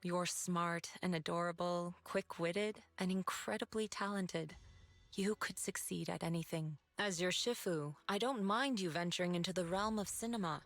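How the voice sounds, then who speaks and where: A woman's voice: fairly low pitch, moderate pace, no room sound, lightly acted. A woman playing a composed, playful mystic master who dotes on a pupil in an anime-style game. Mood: fond, composed. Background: faint game music.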